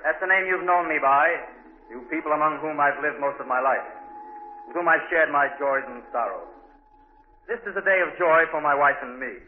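Through a narrow, echoing 1940s radio recording comes an actor as an idealistic man addressing old neighbors. His voice sits fairly high for a man. He speaks with earnest, nostalgic oratory. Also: normal speed, a faint crowd, a little hiss or hum, close to an everyday voice. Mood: proud, earnest. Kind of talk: 1940s radio acting, public speech.

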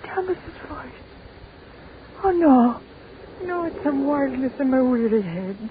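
Hissy old radio drama, a frail grieving irish mum, shaky and pleading, thin sound. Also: female voice, high voice, expressive, slow pace. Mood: anguished, fearful. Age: older. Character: grieving, devout old widowed mother, anguished and pleading. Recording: tinny, noisy old radio recording.

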